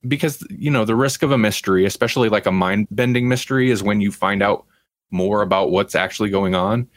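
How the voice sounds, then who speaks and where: Low-voiced man in casual podcast chat. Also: a little fast, no echo, clean studio sound. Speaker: podcast host.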